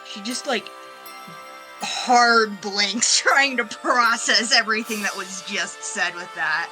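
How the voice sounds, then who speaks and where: A woman's voice: medium pitch, takes it slowly, over music, poor audio. A young adult woman playing a tabletop game, casually improvising narration of what her character does.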